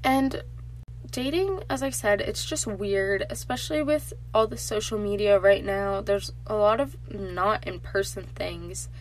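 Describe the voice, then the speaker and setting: Teen girl podcaster, stuffy nasal voice, chatty, mid-pitched, faint hum behind. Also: measured pace, rough recording. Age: teens. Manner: chatty and animated, lots of filler "like". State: congested from allergies. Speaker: podcast host. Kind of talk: casual solo podcast chat.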